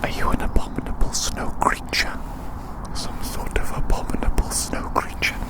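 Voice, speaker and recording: An ASMR creator whispering a playful, teasing roleplay ramble, lingering over repeated phrases. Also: normal speed. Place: outdoors in winter woods.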